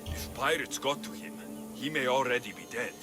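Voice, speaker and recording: A man's voice: mid-range voice, animated, normal speed, over music, close to an everyday voice. A male actor playing a confident, blunt mercenary hero in a video game cutscene, firm and matter-of-fact. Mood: cool, businesslike.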